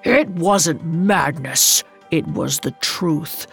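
A menacing, grief-stricken lion from a storybook , acted out by a woman. The delivery is slow and commanding, with a gravelly edge and faint music behind it. Every order carries a threat. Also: low voice, exaggerated voice. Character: fierce grieving male lion, menacing royal advisor.

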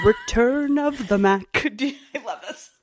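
A woman's voice: sits high, wildly swooping pitch, slow pace, a little hiss or hum. A woman co-hosting a podcast, chatting casually in a playful, teasing way and laughing often. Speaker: podcast co-host. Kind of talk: casual chat.

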